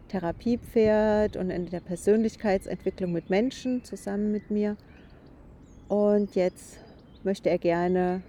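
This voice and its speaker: A woman guides a meditation with a very soft, mellow, dark-toned voice. She speaks gently and haltingly, taking her time. She is outdoors in a meadow, with birdsong and faint background noise around her. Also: medium pitch. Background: birds, grazing horses, distant construction noise.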